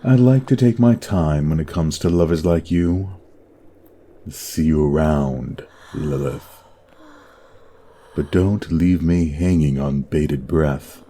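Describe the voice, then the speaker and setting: A man's voice: extremely low pitch, takes it slowly, warm tone, slight background noise. A man playing a lustful, teasing demon prince of hell in an audio roleplay, with a drawling, flirtatious delivery. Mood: playful, lascivious.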